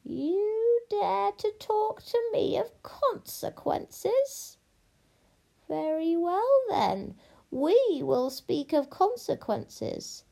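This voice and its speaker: A woman voicing a cruel, miserly old storybook witch who draws out her words with cold, deliberate disdain. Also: very high voice, very animated, gravelly, mellow, voice pushed up, exaggerated voice. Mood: menacing.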